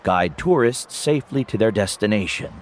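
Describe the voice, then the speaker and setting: An actor voicing a calm, protective older male mentor in a video game, who speaks slowly and gently. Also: fairly low pitch, a little hiss or hum, close to an everyday voice. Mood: earnest. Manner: slow, measured and gentle. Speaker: teacher and guide. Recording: game audio with faint music. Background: soft game music.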